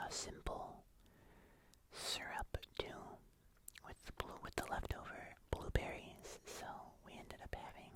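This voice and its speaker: Whispering ASMR host, musing softly. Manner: soft, unhurried, musing aloud while crafting. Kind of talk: whispered ASMR craft narration.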